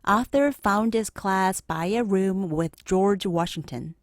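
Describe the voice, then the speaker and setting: A woman narrating a children's picture book aloud in an expressive, clearly phrased storytelling manner. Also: medium pitch, takes it slowly, dry recording, studio quality.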